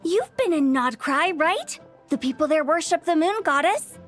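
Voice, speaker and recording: A friendly young girl in a video game. Her voice is high and animated, inquisitive and expressive. Faint music runs underneath. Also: normal speed. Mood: curious, musing. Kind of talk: video game cutscene acting.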